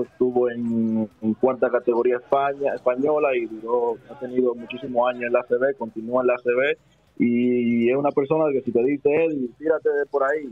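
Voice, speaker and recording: A man's voice: mid-range voice, no room sound. Young Dominican guy, basketball player, hesitant answers, lots of fillers; dry, tinny mic. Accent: Dominican Spanish. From Dominican Republic. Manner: hesitant, many restarts and fillers. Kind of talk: casual interview answers. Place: small studio with desk. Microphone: headset and desk mic. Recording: dry, phone-like narrow sound.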